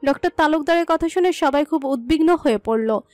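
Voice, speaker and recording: A woman narrates a scripted dramatic story. Her voice is high and sits in a fairly dry recording, with soft music behind her. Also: brisk, no echo.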